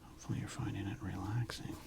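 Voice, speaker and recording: A man hosting an ASMR video, speaking in a breathy whisper with a gravelly creak, rambling casually as if musing to himself. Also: deep voice, flat delivery, quick pace. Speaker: host of a sports card ASMR channel. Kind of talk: ASMR, unscripted whispered commentary on a card collection.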